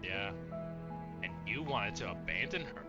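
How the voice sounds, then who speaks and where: A male let's play streamer with a lively, swinging pitch. He talks casually, reacting in asides and reading choices aloud. Game music runs behind him. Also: measured pace, lo-fi sound. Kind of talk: gameplay commentary mixed with reading dialogue options aloud.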